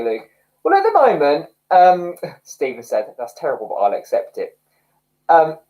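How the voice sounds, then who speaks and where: A man's voice: fairly high pitch, a little mellow, poor audio. A man hosting a casual livestream from home, reading viewer comments, chatty and self-mocking and often laughing. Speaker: livestream host. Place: home, indoors.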